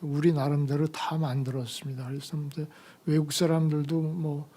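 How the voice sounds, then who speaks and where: An older man lecturing at an academic conference, his voice hoarse from a cold. The tone is mellow and dark. He moves haltingly, pausing on fillers as he gathers each thought. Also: on the high side, flat delivery. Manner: halting, slow delivery with many fillers. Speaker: retired medical professor giving a conference lecture.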